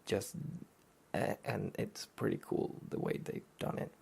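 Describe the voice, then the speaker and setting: A soft-spoken man hosting a relaxed review show, often near a whisper, rambling and hesitant in an understated way. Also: measured pace. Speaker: host of a soft-spoken anime talk show.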